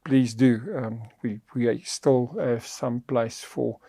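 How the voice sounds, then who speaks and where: Gravelly, mellow man. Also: medium pitch, little pitch movement, slow, no echo. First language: Afrikaans. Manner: earnest, preacherly, teaching tone. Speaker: podcast co-host.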